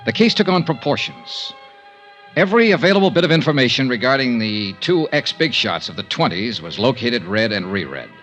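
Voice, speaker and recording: An actor with an American accent playing a smooth, tough but tender insurance investigator in a 1950s radio drama, with measured, clipped delivery. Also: male voice, mid-range voice, sing-song, a bit bright, faint music behind. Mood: matter-of-fact narrating. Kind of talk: 1950s radio detective drama acting and narration. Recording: dull 1950s radio recording.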